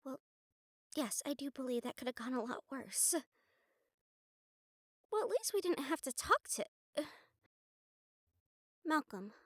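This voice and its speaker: An actress playing a sardonic, defiant young fledgling vampire in an audio roleplay drama, with a wry, sarcastic delivery. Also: female voice, sits high, big pitch swings, measured pace, long pauses, fairly bright tone, dry recording, studio quality, full character voice. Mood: wry relief.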